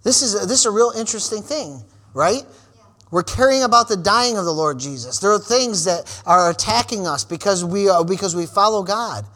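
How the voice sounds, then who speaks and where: A man in his forties preaching a sermon, calling out "amen" and "praise the Lord" between lines and building to shouted, repeated refrains. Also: high-pitched, animated, moderate pace. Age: 40s. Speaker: pastor.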